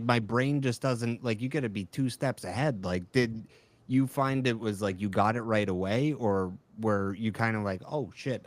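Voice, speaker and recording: A man's voice: mid-range voice, no room sound. A man co-hosting a podcast, chatting casually and self-deprecatingly as he leads up to a question. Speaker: podcast co-host, comedian and radio personality. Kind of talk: casual chat.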